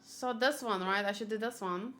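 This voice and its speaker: A woman chatting casually on a gaming livestream, thinking aloud in an expressive, chatty way. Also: mid-range voice, normal speed. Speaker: video game streamer. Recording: clear background noise.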